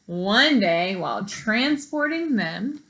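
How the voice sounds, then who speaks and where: A woman reading a picture book aloud to children in an expressive, teacherly way, pausing to explain words and put questions to young listeners. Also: mid-range voice, a little hiss or hum, low-quality recording.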